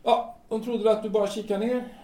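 A man's voice: sits high, flat delivery, dark tone, close to an everyday voice. A man acting in an audio drama as a blunt, casual thirtyish man, hesitant and matter-of-fact. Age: 30s. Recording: roomy, distant, noisy amateur-sounding recording.

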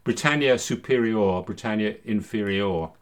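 A man's voice: lowish voice, moderate pace. A man hosting a podcast, talking in an erudite, explanatory way and sometimes reading out quotations. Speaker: podcast host. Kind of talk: discursive conversation with read-out historical quotes.

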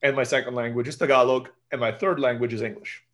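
A man's voice: mid-range voice, steady tone, quick pace, fairly bright tone. A man in his forties with an American accent, a native Turkish speaker raised in Turkey, telling stories as an interview guest and often tagging his sentences with "right?". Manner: rambling, tag questions like "right?". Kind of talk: casual interview talk.

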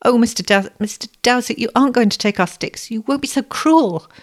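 A woman's voice: sits high, very animated, brisk, creaky, darkish tone, voice pushed up, exaggerated voice. A woman voicing a poor, devoted, anxious teenage flower girl in a story, with an emotional, pleading manner. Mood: frightened pleading.

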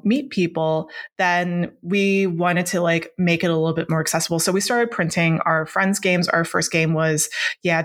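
A young woman from Hong Kong, a native Cantonese speaker, chatting in an interview. Her voice sits a little low, and she talks loosely, full of "like" and "um" and quick restarts. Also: a bit bright. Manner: chatty, many fillers and restarts. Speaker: game designer guest. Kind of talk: casual interview conversation.

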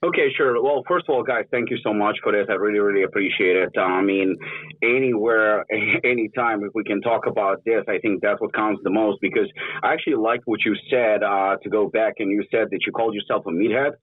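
A man's voice: on the low side, a little fast, slightly rough, dry recording. A man in his forties from Ukraine with a mixed, Russian-tinged accent, talking candidly and bluntly as a podcast guest, swearing freely. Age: 40s. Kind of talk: casual podcast interview. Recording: tinny phone-like line.

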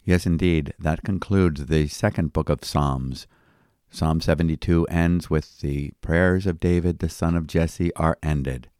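A man speaking as a pastor, delivering scripted Bible commentary in a deliberate, preacherly manner. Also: very deep voice, fairly even intonation, a little mellow, smooth, no echo, clean studio sound. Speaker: pastor and devotional commentary host.

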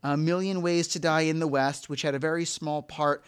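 A man hosting a casual movie podcast, leading a trivia game in a quick, playful way. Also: fairly high pitch, steady tone, clear, resonant tone. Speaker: podcast host.

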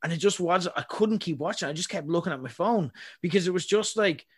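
A man's voice: sits high, brisk, breathy edge. A young adult man, hosting a podcast and giving rambling, opinionated takes. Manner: rambling, opinionated, frequent fillers. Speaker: podcast host. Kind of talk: casual chat.

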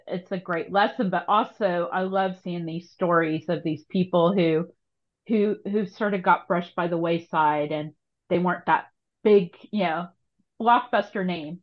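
A woman's voice: fairly low pitch, highs cut off. A woman hosting a conversational podcast interview, engaged and affirming as she builds on what the guest says.

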